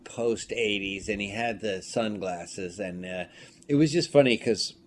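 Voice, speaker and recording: Low-voiced man telling animated anecdotes. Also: a little slow. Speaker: filmmaker and writer, interview guest. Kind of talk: casual conversational anecdotes. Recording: clearly noisy room ambience.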